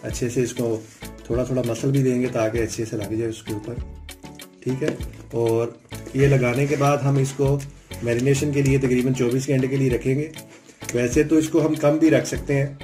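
A man with a Pakistani Urdu accent, hosting a home cooking tutorial and giving calm step-by-step instructions in a home kitchen. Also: medium pitch. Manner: calm step-by-step instructions, often saying "theek hai". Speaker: cooking channel host. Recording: background music, oil sizzling. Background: music and frying sizzle.

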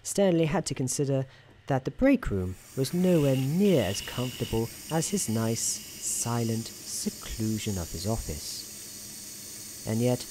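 A soft-spoken man narrating a scripted ASMR story in a wry, mock-grand manner. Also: mid-range voice, slow pace, a little hiss or hum.

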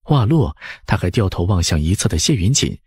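A man narrates an audiobook story expressively. His voice is low and husky with lively swings in pitch. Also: brisk, no echo, studio quality.

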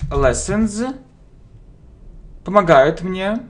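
A man teaching an English lesson, dictating each phrase slowly word by word and repeating it, at a desk in his home. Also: high voice, animated. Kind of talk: slow dictation-style language lesson. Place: home room, indoors. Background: keyboard typing and clicks.

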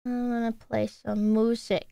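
A woman's voice: a little high, flat delivery, measured pace, vocal fry, warm tone, no room sound, studio quality. Playful, exasperated woman streaming a game. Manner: playful, easily exasperated, talks to chat. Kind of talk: casual live-stream gameplay chat.

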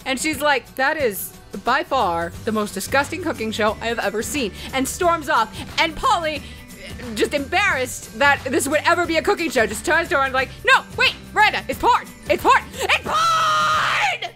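A woman's voice: sits high, big pitch swings, normal speed, pushed and bright, shouting. A woman telling a comedic story of a game session, playful and profane, acting out the quotes as she goes. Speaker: storyteller, let's play host. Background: background music.

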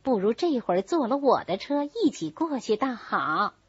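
A woman reading a novel voices a shrewd, flattering young married lady of a rich household in an animated, calculating manner. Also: a little high, normal speed, husky, no room sound, dull audio, heavily acted. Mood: smooth persuasion. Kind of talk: storybook character reading.

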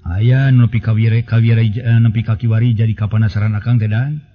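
A man's voice: on the low side, level tone, brisk, highs cut off, lightly acted. A man voicing a probing, teasing village friend in an audio story, speaking in a colloquial, nudging way. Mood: curious. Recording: music bed behind the voice.

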